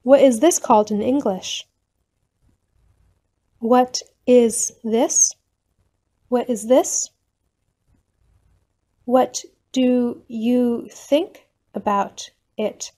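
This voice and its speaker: A woman reads simple practice sentences for learners at a very slow, deliberate pace. Her voice sits a little high but sounds soft and mellow. She says each line slowly, then repeats it at an everyday speed. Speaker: English teacher reading phrases. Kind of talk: slow, clear phrase reading for learners.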